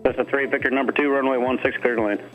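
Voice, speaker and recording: Male tower controller, quick clipped phraseology, radio transmission sound, music bed. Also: mid-range voice, steady tone, phone-quality sound. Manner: fast, clipped, routine radio calls. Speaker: air traffic controller.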